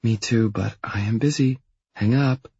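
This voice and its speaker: A man's voice: mid-range voice, unhurried, darkish tone, slightly rough, no room sound, dull audio, pitched above the performer's own voice. A man voicing a teasing young woman who scolds her boyfriend in an audiobook story.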